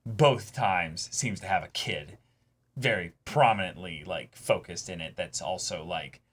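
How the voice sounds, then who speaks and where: A man's voice: sits low, measured pace, fairly bright tone, husky. A man co-hosting a reaction show, discussing casually and emphatically, swearing and acting out imagined quotes. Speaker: co-host of an anime reaction show.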